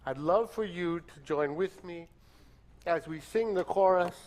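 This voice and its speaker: A man leading a congregation in a hymn, speaking and then singing gently and unhurriedly in a church. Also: sits high, measured pace. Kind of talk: introducing and singing a hymn with guitar. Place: church sanctuary.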